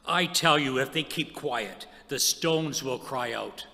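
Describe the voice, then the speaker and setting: A man performs a stern, grave holy teacher in scripture theatre. The voice is bright and forceful with some creak, emphatic in delivery. It rings through a long hall reverb over a PA. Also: mid-range voice, slow, exaggerated voice. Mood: forceful warning. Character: Jesus, grave man warning and rebuking. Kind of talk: church readers' theatre scripture reading. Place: church hall stage before seated audience. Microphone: stand microphone.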